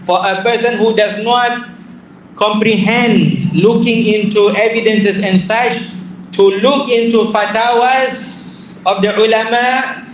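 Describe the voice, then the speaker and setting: A man's voice: high-pitched, steady tone, takes it slowly. A man with a Singaporean accent answering questions as a religious teacher, emphatic and repetitive, often putting rhetorical questions to his listeners. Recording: roomy echo, distant mic, faint noise.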